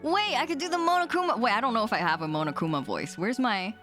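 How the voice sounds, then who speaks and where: A woman's voice: a little high, animated, fast talker, over music. A woman streamer chatting casually with viewers as she plays a visual novel, in a playful, teasing manner. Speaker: VTuber streamer. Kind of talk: casual livestream chat and visual novel read-aloud.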